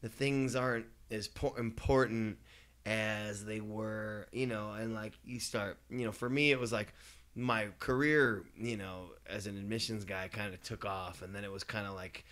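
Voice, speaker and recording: A man's voice: fairly low pitch, steady tone, moderate pace, a bit bright. A man in his forties from East Los Angeles, talking openly in a casual podcast conversation, swearing freely and laughing often. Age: 40s. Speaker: podcast guest.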